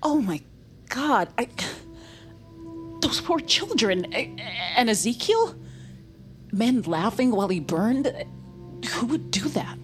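A woman's voice: on the high side, sing-song, slow pace, pauses often, soft background music. An actress playing an earnest, troubled woman investigating an occult mystery in an audio drama. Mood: horrified.

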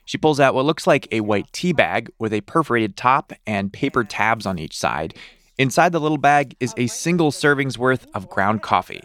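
A man hosting a podcast, reading scripted narration in a lively, playful storytelling way. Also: medium pitch, moderate pace.

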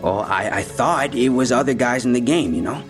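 A man's voice: medium pitch, normal speed, natural voice. An actor in an audio drama playing a defensive young male street robber, talking in clipped slang. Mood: nervous, defensive. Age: young adult. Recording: music bed behind the voice.

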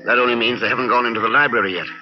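Man playing a brisk, commanding secret agent. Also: mid-range voice, steady tone, lightly acted. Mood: tense. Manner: clipped, commanding. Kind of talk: old-time radio adventure drama acting. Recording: dull vintage radio recording, loud music.

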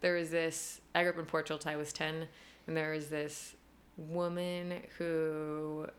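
Young woman talking low and loose, lots of um and like, laughing a lot. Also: level tone, a little slow. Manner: chatty, many fillers, laughs often. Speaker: costume designer, guest. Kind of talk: casual conversational interview.

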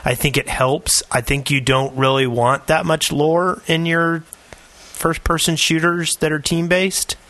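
A man with a slightly high, bright voice banters casually as a podcast co-host. The sound is close and dry. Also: flat delivery, unhurried, dry recording.

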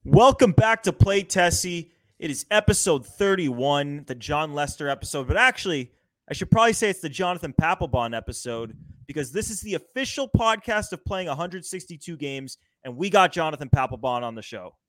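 A man's voice: a little high, lively intonation, a little fast, fairly bright tone, dry recording, poor audio. Late-20s male podcast host, loose rambling delivery, dead-dry room. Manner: rambling, many "like" fillers. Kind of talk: casual sports podcast chat.

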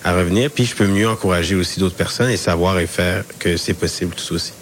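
Deep-voiced young man, hesitant. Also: fast talker, slight background noise. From Rwanda. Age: 20s. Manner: hesitant, many fillers and restarts. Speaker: student guest. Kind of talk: casual interview answers.

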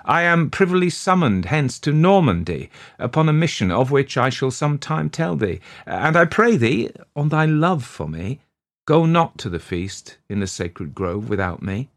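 A man's voice: medium pitch, sing-song, unhurried, pitched above the performer's own voice. A man voicing an anguished young huntsman in love, pleading and trembling in archaic storybook speech. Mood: earnest. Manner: trembling, imploring, archaic diction.

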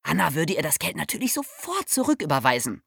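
A woman's voice: low-pitched, sing-song, fast, vocal fry, a bit bright, breathy edge, no echo, clean studio sound. A woman with a German accent hosting a podcast, telling a true-crime story in a casual chat, with wry asides and frequent laughs. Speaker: podcast host.

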